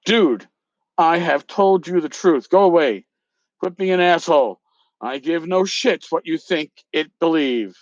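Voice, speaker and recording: Man acting irritable con man, defensive, curt, insulting, dramatic reading, higher-pitched, dry close sound. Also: expressive, measured pace, dry recording, dull audio. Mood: indignant, irritated. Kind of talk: dramatic reading of an email exchange.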